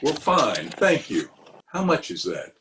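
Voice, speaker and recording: Radio play uncle, male actor: middle-aged, blustering, kindly grump, drawn-out hesitant lines with animated swoops. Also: medium pitch, slight background noise, full character voice. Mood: politely puzzled. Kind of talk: 1940s radio comedy acting.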